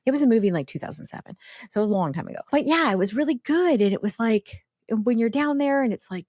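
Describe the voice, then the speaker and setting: A woman's voice: on the high side, animated, fast talker, darkish tone, no room sound, clean studio sound. A middle-aged woman hosting a casual podcast interview, chatty and full of fillers. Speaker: podcast host.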